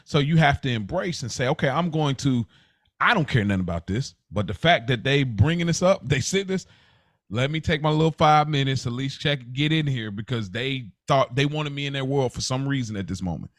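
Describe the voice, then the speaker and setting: A man's voice: medium pitch, lively intonation, fairly quick, no echo, studio quality. A man co-hosting a podcast in casual conversation, explaining his thoughts at length in a reflective way. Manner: reflective, explains at length with "like" and "you know what I mean". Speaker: podcast co-host.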